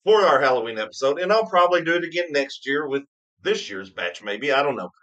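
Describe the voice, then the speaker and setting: Man, slightly high pitch, eager rambling host, casual banter. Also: very animated, quick pace, a bit bright, highs cut off. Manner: enthusiastic, rambling storyteller. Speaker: host of a bourbon tasting stream. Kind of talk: casual live-stream banter.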